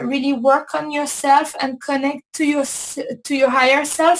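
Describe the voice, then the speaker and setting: Woman, high voice, unhurried, repeats words, hosting. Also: a little hiss or hum, low-quality recording. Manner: slow, repetitive, searching for words. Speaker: host. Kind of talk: casual chat.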